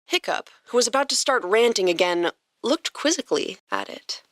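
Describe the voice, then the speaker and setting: Expressive woman narrator with lively pitch. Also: moderate pace, no room sound, studio quality. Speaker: podcast host and narrator. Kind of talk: expressive scripted story narration.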